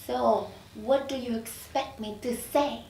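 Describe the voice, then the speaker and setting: A woman's voice: a little high, fairly even intonation, takes it slowly, vocal fry, darkish tone, slight background noise. An actress at a script table read playing an impatient, blunt, incredulous woman who is exasperated and desperate. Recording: echoey room from far off.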